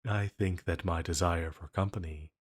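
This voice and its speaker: A man playing an ancient banished villain in a roleplay audio drama, flirty and condescending, with a measured, silky, intimate delivery. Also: low-pitched, steady tone, breathy edge. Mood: condescending, charmed.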